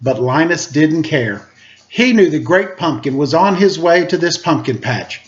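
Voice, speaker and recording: A man reading a children's story aloud in a playful, expressive way, with casual asides. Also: on the high side, measured pace. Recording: slightly dull, lo-fi audio.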